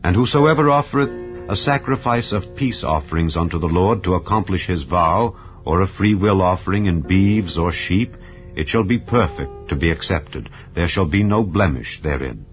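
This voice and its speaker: A very low-voiced man reads scripture in a solemn, measured, formal way. The recording is slightly muffled and lo-fi. Soft background music plays beneath his voice. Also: expressive. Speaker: scripture reader. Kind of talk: dramatized scripture reading.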